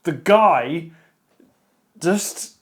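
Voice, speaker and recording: A man's voice: a little high, animated, extremely slow. A man chatting casually in a discussion, giving his views in an opinionated, emphatic way. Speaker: discussion show panelist. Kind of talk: casual chat, book discussion.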